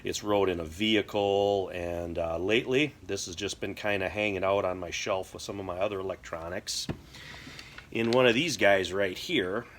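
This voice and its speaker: A middle-aged American man explaining things casually to camera in a halting, folksy way. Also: low-pitched, a bit bright, slight background noise. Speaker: prepper video host. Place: indoor workshop or garage.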